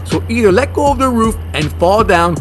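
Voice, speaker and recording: An actor playing a fussy, germophobic monster hunter, blunt and bossy, in a comedy horror audio drama. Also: male voice, high voice, moderate pace, soft background music. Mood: irritated. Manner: deadpan, argumentative.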